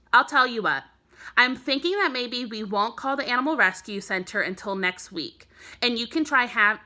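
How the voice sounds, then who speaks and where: A warm, encouraging mother in a children's storybook, read by a woman. Her voice is bright and a little high. She speaks in a measured, affectionate way.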